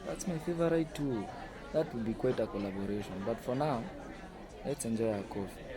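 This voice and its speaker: Man playing earnest male employee, smitten and caring, amateur radio drama dialogue, mid pitch, noisy public background. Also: moderate pace, crowd noise, lightly acted. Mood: friendly, admiring.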